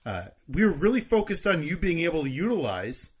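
A man's voice: on the high side, very animated, a little fast, breathy edge. A man co-hosting a podcast in casual chat, with a teasing, joking manner. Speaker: podcast co-host.

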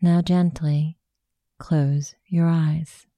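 Female meditation guide, low-pitched and unhurried, with a very soft dark tone and gravelly creak. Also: steady tone, dry recording. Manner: slow, hushed, soothing instruction.

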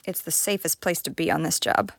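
A woman's voice: low-pitched, sing-song, fairly quick, creaky, no room sound, exaggerated voice. An actress playing a tough, sardonic, foul-mouthed young woman who leads a team in a fantasy audio drama. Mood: firm, reassuring. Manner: blunt, sardonic.

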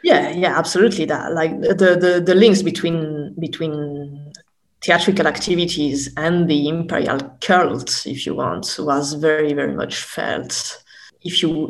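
Hesitant woman academic, gravelly creak. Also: sits low, rough recording. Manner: halting, repeats words while searching for phrasing. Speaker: academic expert guest. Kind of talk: explanatory interview conversation.

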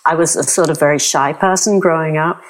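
A British woman from England, a photographer guest, answering frankly and telling lively anecdotes. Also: low-pitched, a little fast. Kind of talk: interview answers, storytelling.

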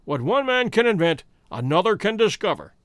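A man voicing a composed, stern detective in an audiobook, calm, precise and commanding. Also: high-pitched, fairly quick, dry recording, clean studio sound. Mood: assured. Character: composed, masterful male detective, stern and assured.

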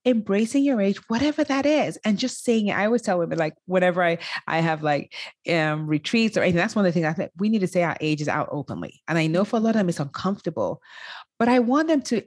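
Woman in her fifties, lowish voice, very lively intonation, dry close sound, emphatic and upbeat. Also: husky, dry recording. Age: 50s. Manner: enthusiastic, quick to laugh, emphatic. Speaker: guest, life coach for women over fifty. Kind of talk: interview conversation.

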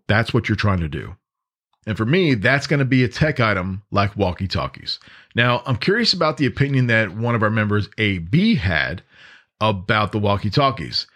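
A man with a somewhat low-pitched voice talks as a podcast host. He explains things conversationally, like practical instruction, and leans on repetition and short asides to the listener to make his points land. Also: a bit bright. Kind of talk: conversational podcast monologue on emergency preparedness.

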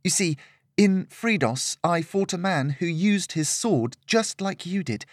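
A man's voice: high-pitched, animated, unhurried, dry recording, studio quality, pitched above the performer's own voice. A man voicing an earnest, eager young female adventurer in a fantasy audiobook, with a raised, pleading voice.

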